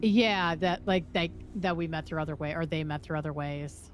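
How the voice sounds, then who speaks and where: Woman doing a flirty blunt gal in a crime roleplay game, low voice, casual, music going behind her. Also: moderate pace, natural voice. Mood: casual, explaining. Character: flirty, breezy gothic-loving woman in a crime city. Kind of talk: improvised online roleplay, casual chat.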